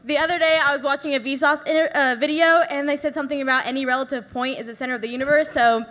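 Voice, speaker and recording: A teenage girl on stage talking excitedly and a little theatrically. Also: high voice, fast talker. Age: teens.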